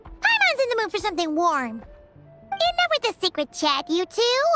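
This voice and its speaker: Squeaky, very high childlike fairy companion. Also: female voice, wildly swooping pitch, moderate pace, loud music behind the voice, heavily acted. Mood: cheerful. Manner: refers to self in third person, exclaims eagerly. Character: small childlike fairy companion, chirpy, curious, excitable. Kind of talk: video game voice acting.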